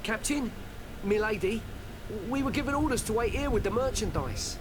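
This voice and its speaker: A deferential young working man in a fantasy game, with a notably high-pitched voice and a reassuring way about him. Clear ambient noise runs behind him. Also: expressive, moderate pace. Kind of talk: scripted video game dialogue.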